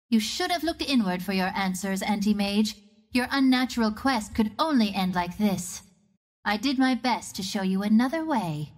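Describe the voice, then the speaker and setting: Video game hero: a self-assured young woman archer of the wind, teasing and theatrical, with lively swooping intonation. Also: fairly high pitch. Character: confident, wry young female archer ranger of the wind.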